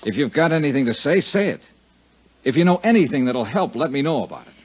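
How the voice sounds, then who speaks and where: A man's voice: a little high, sing-song, fast, husky, dry recording. A man playing a world-weary, blunt insurance investigator in 1950s radio drama style, clipped and wry. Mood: impatient, pressing. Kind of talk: 1950s radio detective drama acting and narration. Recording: muffled 1950s radio recording.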